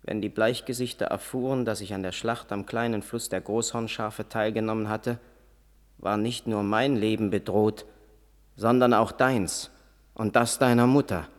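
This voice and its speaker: A man's voice: lowish voice, fairly even intonation, moderate pace, lightly acted. Man acting a solemn warrior father. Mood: grave. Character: proud, solemn Lakota warrior father recounting the past. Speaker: narrator and lead character. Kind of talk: German radio play acting and narration.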